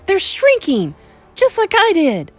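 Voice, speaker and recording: A woman acts a small boy turned mouse in a children's story. The voice is high and lively, full of eager, urgent excitement. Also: a little slow, soft background music. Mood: excited.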